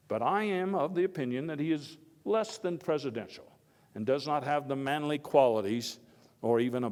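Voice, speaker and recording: Man playing proud old statesman in a reverberant hall. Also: medium pitch. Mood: indignant. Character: elderly Theodore Roosevelt, proud, folksy, opinionated old statesman and outdoorsman. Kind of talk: live dramatized first-person historical speech. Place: indoor hall before a live audience. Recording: echoey hall with long reverb.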